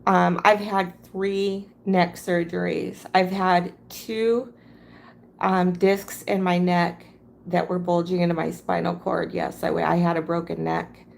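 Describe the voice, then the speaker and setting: A hoarse woman hosting a casual crafting livestream, talking in a halting, rambling way. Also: on the low side, level tone.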